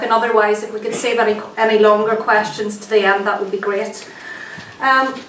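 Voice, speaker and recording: A woman's voice: fairly high pitch, slight background noise. A woman giving a lecture to an audience, sounding nasal from a cold, chatty and friendly with plenty of ums. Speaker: archivist giving a genealogy lecture. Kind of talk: public lecture. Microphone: distant room mic. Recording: echoey lecture room recorded from a distance.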